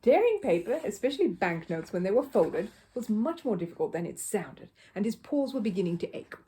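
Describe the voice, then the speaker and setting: A woman narrates a children's story with expression. Her voice is mellow and soft-edged, sitting at a medium pitch. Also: sing-song, a little fast. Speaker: storybook reader.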